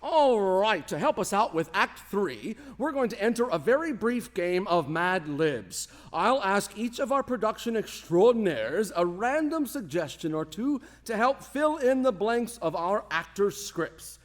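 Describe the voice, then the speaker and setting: A man's voice: high voice, big pitch swings, unhurried, hardly any pauses. A man hosting a live radio drama show with grandiose, playful, formal showmanship.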